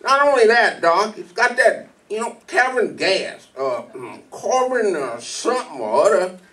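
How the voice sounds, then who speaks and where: A man playing a folksy, rustic, halting small-town constable in a 1940s radio drama. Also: high voice, big pitch swings, a bit bright, full character voice. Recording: distant microphone in a hall.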